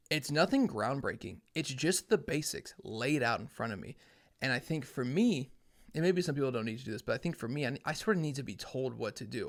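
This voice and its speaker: A young man hosting a podcast, talking alone in a casual, earnest, plainspoken way, thinking aloud. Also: mid-range voice. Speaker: podcast host. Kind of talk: casual solo talk.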